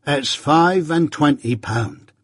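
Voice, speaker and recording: A man voicing a simple, kindly country working man who speaks slowly and haltingly with rustic grammar in a storybook. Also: fairly high pitch, expressive, slightly rough, dry recording, clean studio sound, voice pushed up, heavily acted. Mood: quietly delighted.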